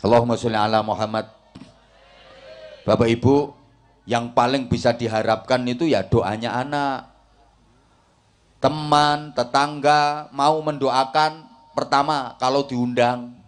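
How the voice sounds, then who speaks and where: A man's voice: medium pitch, fairly even intonation, a little slow, pauses often. A middle-aged man with a Javanese accent, preaching a comic sermon to a village congregation and teasing the crowd. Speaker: Islamic preacher.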